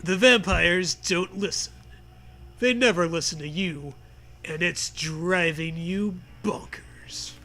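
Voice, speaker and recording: A man trading casual comedic banter and reading aloud, joking in a deadpan, profane way. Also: high voice, slow pace. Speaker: co-host of a comedy reading show.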